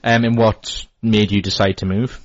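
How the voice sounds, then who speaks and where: A man's voice: fairly low pitch, flat delivery, normal speed, no room sound, lo-fi sound. An English man hosting a podcast interview, casual, rambling and agreeable. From England. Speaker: podcast host.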